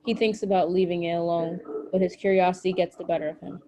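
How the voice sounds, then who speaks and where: A woman reading scripted stage directions at a table read in an even, matter-of-fact way. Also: lowish voice, quick pace, slight background noise.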